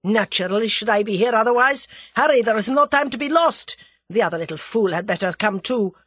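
A woman's voice: on the high side, animated, brisk, a bit bright, slightly rough, no room sound, pitched above the performer's own voice. A woman voicing a frightened, bitter Russian man in an audiobook, speaking with vehement, snarling urgency. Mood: tense, urgent.

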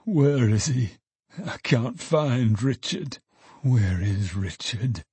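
A man's voice: medium pitch, animated, unhurried, darkish tone, slightly rough, dry recording. Bewildered poor man, acted by a man, plaintive moan, distressed, audiobook character, close dry sound. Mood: grieving, confused. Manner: moaning, plaintive.

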